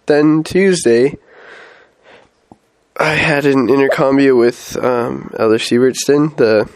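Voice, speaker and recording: A man's voice: medium pitch, takes it slowly. Rambling young man with a head cold. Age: young adult. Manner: rambling, many fillers and restarts. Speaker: missionary. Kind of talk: casual weekly update vlog.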